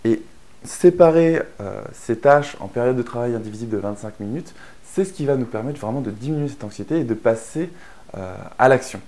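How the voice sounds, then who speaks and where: A man's voice: medium pitch, lively intonation, a little fast, darkish tone. A man from France explaining a productivity method in a chatty online lesson, with frequent fillers and restarts. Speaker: online course instructor. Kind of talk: explanatory course narration.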